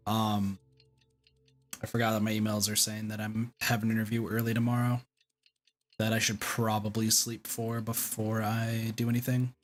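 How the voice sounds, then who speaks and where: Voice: mid-range voice, completely flat delivery, moderate pace. A game streamer commenting casually and informally, often repeating their own phrases.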